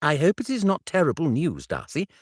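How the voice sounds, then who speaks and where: A man doing a cheerful young gentleman, raised pitch. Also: huge pitch swings, a little fast, slightly rough, dry recording, studio quality, full character voice. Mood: concerned.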